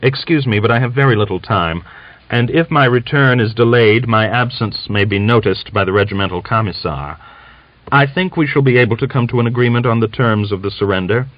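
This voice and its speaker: A man's voice: mid-range voice, fairly quick, no echo, pitched above the performer's own voice, lightly acted. A man voicing an earnest, anxious, formal army officer in an audiobook novel. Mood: urgent, polite. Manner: formal, emphatic, persuasive. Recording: dull lo-fi audiobook recording.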